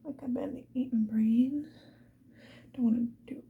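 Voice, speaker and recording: A woman's voice: fairly high pitch, a little slow, phone-quality sound, a little hiss or hum. Whispering ASMR woman, casual and playful. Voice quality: breathy, mostly unvoiced whisper. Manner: casual, playful, chatting to the viewer. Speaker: ASMR video creator. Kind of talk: whispered ASMR get-ready-with-me chat.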